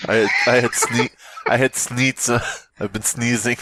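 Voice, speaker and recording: A man's voice: mid-range voice, little pitch movement, unhurried, very noisy. A congested man co-hosting a podcast, joking crudely and acting out silly voices. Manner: jokey, acts out silly voices. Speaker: podcast co-host. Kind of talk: crude casual banter.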